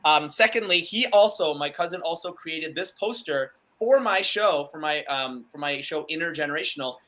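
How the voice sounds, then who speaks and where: A man's voice: a little high, lively intonation, moderate pace, sharp tone. A man whose first language is Cantonese, talking casually as a guest on a video call, enthusiastic and rambling with lots of "like" and "um". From Canada. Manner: enthusiastic, rambling with many fillers. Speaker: guest speaker. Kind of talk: casual chat. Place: home room.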